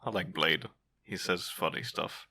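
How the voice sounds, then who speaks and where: A man in his twenties speaks with a Serbian accent in a casual podcast chat. His voice is very bright and sits fairly low, and he stumbles and repeats words before landing dry jokes. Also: unhurried. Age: 20s. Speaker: podcast co-host.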